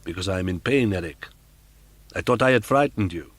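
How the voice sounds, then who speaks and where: A man's voice: low-pitched, lively intonation, fairly quick, no room sound. A man reading an audiobook, voicing a frightened young woman held captive, speaking in a strained, pleading way.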